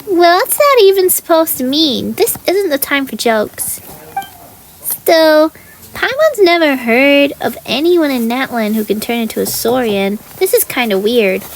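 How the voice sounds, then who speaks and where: A woman voicing a tiny, excitable and blunt female floating companion in a video game, with a high and bouncy delivery. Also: measured pace, a little hiss or hum. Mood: curious. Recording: game audio over game music.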